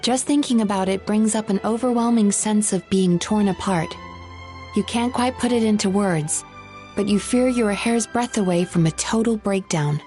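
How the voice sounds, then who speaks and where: A woman voicing a composed female dream therapist in a game, speaking in a measured, probing, gently firm manner. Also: mid-range voice, natural voice. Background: game music.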